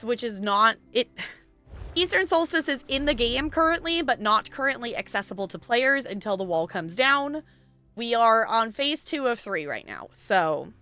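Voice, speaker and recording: A woman's voice: a little high, sharp tone. A woman game streamer chatting casually with her viewers, rambling a little and laughing easily. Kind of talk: casual live-stream chat while gaming.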